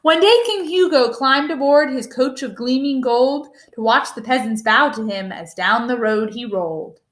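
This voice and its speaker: A woman reading a rhyming picture book aloud in an expressive storytelling style. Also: high-pitched, normal speed. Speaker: storybook reader.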